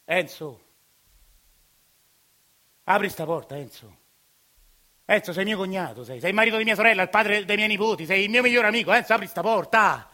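An actor with a Roman accent playing a desperate father who shouts and pleads through a locked door. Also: high-pitched, expressive, normal speed, pauses often. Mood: pleading.

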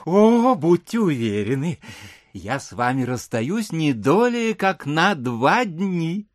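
A sentimental landowner from an audio drama, cloying and dreamy. He speaks in a fairly high, lilting voice and draws his words out slowly, gushing and hesitating. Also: sing-song, dry recording, studio quality. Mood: sweetly solicitous. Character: sugary, sentimental, dreamy landowner and genial host.

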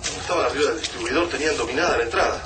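A man's voice: mid-range voice, racing, far from the mic, over loud music, close to an everyday voice. A man playing a tense lieutenant leading fighters in a radio drama, speaking hurriedly. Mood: focused, tense.

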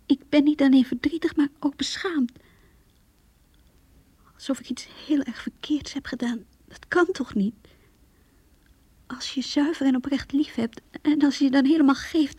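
A woman's voice: high-pitched, normal speed, long pauses, warm tone, no echo, close to an everyday voice. An actress playing an earnest, lovesick young woman who confides her diary in a Dutch radio play. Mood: hurt, sorrowful. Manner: earnest, intimate.

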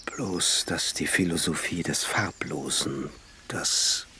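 An actor playing a brooding, philosophical court alchemist in a radio drama, with a husky, breathy voice and formal old-fashioned phrasing. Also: male voice, low-pitched, takes it slowly, sharp tone, whispered, exaggerated voice.

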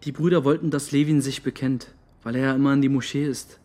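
A man's voice: medium pitch, fairly even intonation, fast, a little mellow, natural voice. An actor playing a slangy, earnest young man who feels hurt and defiant, in a naturalistic radio drama. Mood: earnest, calm. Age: young adult. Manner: slangy, earnest, plain-spoken. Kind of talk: interview monologue in a naturalistic German radio drama.

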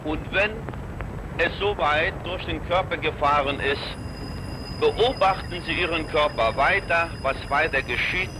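A man speaking as a healer, giving deliberate, solemn instructions to his listeners. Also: on the high side, noisy background.

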